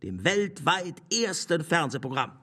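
An actor playing a sly, domineering Nazi propaganda minister, mocking and insinuating, in a radio play. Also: male voice, a little high, very animated, measured pace, bright, forceful tone, slightly rough, exaggerated voice. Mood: sly, menacing. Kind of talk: German radio play acting.

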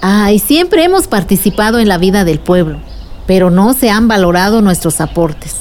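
A woman's voice: mid-range voice, animated, normal speed, a little mellow, a little hiss or hum, lightly acted. A woman playing a patient, wise village aunt who explains things in a radio drama. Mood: patient, earnest.

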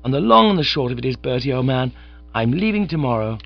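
A man voicing an excitable young gentleman who talks in a breezy, hurried, chummy way. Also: medium pitch, creaky, voice pushed up. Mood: eager. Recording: slightly dull lo-fi recording.

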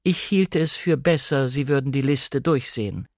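A man voicing a coolly deferential female housekeeper of a manor in an audiobook drama, with formal, measured politeness. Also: mid-range voice, dry recording, clean studio sound, pitched above the performer's own voice, lightly acted.

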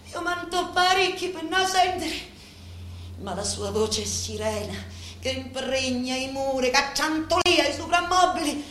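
An actress playing a grave Sicilian woman confiding in a mafia boss, in a slow, deliberate theatrical monologue with a Sicilian accent. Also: sits high, lively intonation, a bit bright. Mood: pained, bitter. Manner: slow, deliberate, brooding storytelling. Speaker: stage actress in a radio drama. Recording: roomy echo, noisy background.

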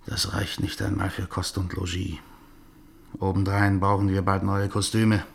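An actor playing the irritable, theatrical head of a touring troupe in a German radio drama, blustering and declamatory. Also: male voice, deep voice, level tone, measured pace, vocal fry, slight background noise. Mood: worried, businesslike.

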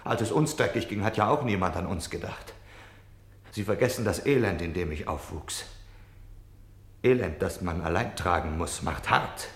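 A man's voice: deep voice, animated, moderate pace, a bit bright, echoey room. A man playing a defensive, self-pitying Nazi official on wartime leave in a German radio play, justifying himself between subdued confession and indignant outbursts. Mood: agitated, bitter.

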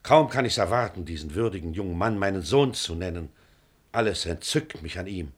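A man's voice: low voice, animated, fairly quick, slightly rough. An actor playing a stern, suspicious middle-aged father, proud and declamatory, in a classical radio drama. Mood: impatient, proud. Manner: declamatory, severe. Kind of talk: classical stage-style radio drama acting.

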